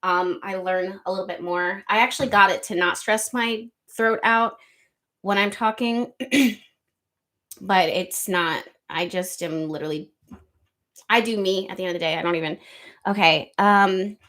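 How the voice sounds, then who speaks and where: A woman hosting an astrology livestream, reading viewer comments aloud in a loose, rambling chat with a soft, slightly nasal voice, close to the microphone. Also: medium pitch, measured pace. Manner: chatty, rambling, lots of ums and likes. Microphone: close studio microphone.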